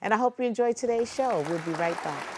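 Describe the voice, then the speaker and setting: A woman's voice: on the high side, expressive, fast talker, some chatter behind, slight background noise. A woman hosting a promotional interview show, speaking in a chatty way and addressing people directly. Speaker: TV show host.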